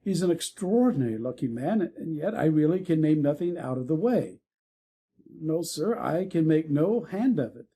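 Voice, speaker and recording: Man acting a frank young gentleman, slightly husky. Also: fairly high pitch, expressive, normal speed. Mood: puzzled, disapproving. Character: frank, worldly young gentleman, reticent about gossip. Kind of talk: dramatic audiobook reading.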